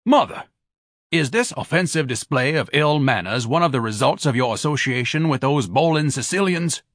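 A man's voice: medium pitch, lively intonation, normal speed, fairly bright tone, no room sound, clean studio sound, pitched above the performer's own voice, lightly acted. A man voicing a pompous, lazy grown man who speaks with haughty, elaborate, condescending diction.

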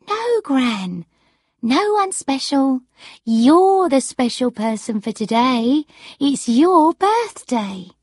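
Woman as a coaxing, cheerful granddaughter, lifted lively pitch. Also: slow, slightly rough, no echo, clean studio sound, full character voice. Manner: bright, encouraging, soothing.

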